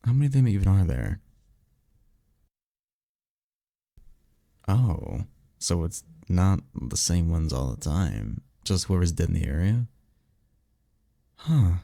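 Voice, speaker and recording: A blunt, sarcastic male vampire mentor played by a man for an audio roleplay. The voice sits low with a crackly fry and moves around expressively. The delivery is casual and exasperated, with conversational hesitations. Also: very animated, unhurried, warm tone, no echo, studio quality, exaggerated voice. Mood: casual, curious. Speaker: voice actor. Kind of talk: ASMR roleplay audio drama, one-sided conversation.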